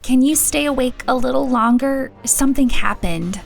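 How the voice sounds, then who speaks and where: A woman's voice: high voice, lively intonation, normal speed, vocal fry, faint music behind. A woman playing a caring, practical village mother in a fantasy audio drama, matter-of-fact and reassuring. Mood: concerned.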